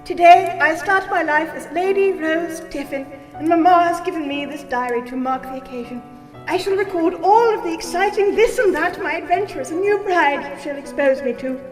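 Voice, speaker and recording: A woman plays an effusive, snobbish new bride in a period audio drama. Her voice is extremely high and affectedly genteel, ringing in an echoey space with faint music behind. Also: normal speed, distant mic. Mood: gushing delight. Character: gushing, snobbish young Edwardian bride reading her diary.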